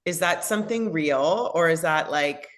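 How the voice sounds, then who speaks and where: A woman's voice: deep voice, moderate pace. A woman hosting a casual book club chat over a video call, asking questions and passing on audience questions. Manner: inquisitive, passes on audience questions. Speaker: host.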